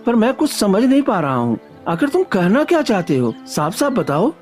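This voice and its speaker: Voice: medium pitch, very animated, normal speed, darkish tone, soft background music, natural voice. A performer playing an honest, kind-hearted young village man in a Hindi moral story, speaking earnestly and devoutly. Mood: astonished.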